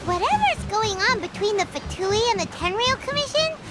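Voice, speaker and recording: Very high, squeaky little sidekick. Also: female voice, expressive, moderate pace. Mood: earnest. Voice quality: squeaky, childlike. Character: tiny childlike female companion creature, excitable, earnest. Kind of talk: video game character dialogue. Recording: loud music under the voice.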